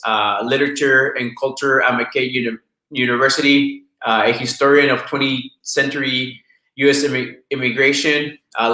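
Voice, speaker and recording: Halting host, bright voice, roomy sound. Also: male voice, medium pitch. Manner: halting, casual, many fillers and "like". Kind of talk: online talk show hosting.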